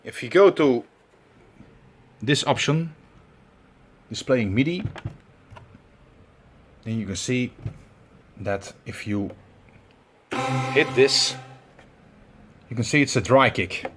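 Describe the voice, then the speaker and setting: A man with a Belgian accent giving a hands-on tutorial, talking in halting steps with many fillers as he searches for words. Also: mid-range voice, slight background noise. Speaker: tutorial presenter. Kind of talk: instructional demonstration.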